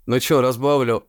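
Male baritone podcast guest, mid-pitched, rambling slangy storyteller, dry booth sound. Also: no echo. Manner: slangy, swearing storyteller, laughs often. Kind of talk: casual chat.

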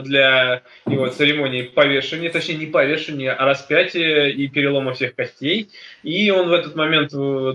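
A man's voice: fairly high pitch, sharp tone. A man in his early thirties casually discussing a film as a podcast co-host, blunt and colloquial, in slightly dull lo-fi sound. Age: early 30s.

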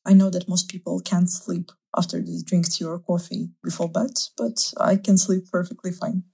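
Mellow husky young woman. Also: fairly low pitch, fairly even intonation, moderate pace. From Azerbaijan. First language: Russian. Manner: chatty, laughs often. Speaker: interviewee. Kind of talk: casual interview chat.

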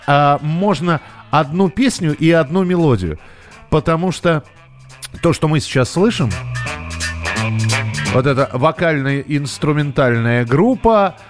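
A man's voice: medium pitch, darkish tone. A middle-aged man presents a live radio call-in in a loose, conversational style. He addresses his listeners directly, rambles a little, hesitates and corrects himself as he thinks aloud. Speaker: radio host.

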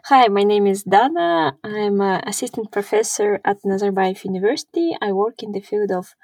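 A woman's voice: mid-range voice, lively intonation, normal speed, darkish tone, dry recording, studio quality. Chatty Kazakh-born woman, often laughing. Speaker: podcast co-host, assistant professor. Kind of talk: casual podcast chat.

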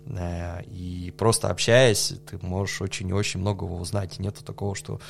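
A man with a low voice and a gravelly edge gives long, thoughtful answers in a casual podcast chat. He hesitates often and fills pauses, sometimes letting out a quiet laugh. A faint hum sits under the recording. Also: level tone. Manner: thoughtful, hesitant with many fillers, laughs softly. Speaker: podcast guest, coffee judge. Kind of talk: relaxed conversational interview.

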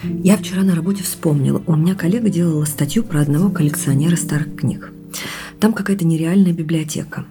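A woman acting as a keen amateur family sleuth with a lowish voice. She tells things informally and affectionately in a naturalistic audio play, with music clearly playing underneath. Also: fast talker, natural voice. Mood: eager.

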